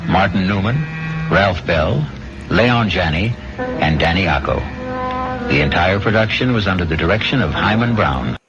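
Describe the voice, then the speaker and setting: Wry, ominous mystery host, low voice, lively inflection, reverb. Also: male voice, moderate pace. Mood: formal. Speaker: host and narrator. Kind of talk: radio mystery narration. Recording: dull old radio recording with music.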